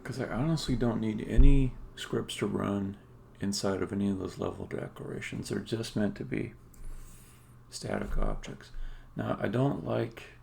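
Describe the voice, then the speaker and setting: Very gravelly, muttering man at work. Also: fairly low pitch, darkish tone. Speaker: game developer live-streaming a coding session. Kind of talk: casual thinking aloud while live-coding. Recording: faint background noise, typing and clicks. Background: computer keyboard typing and mouse clicks.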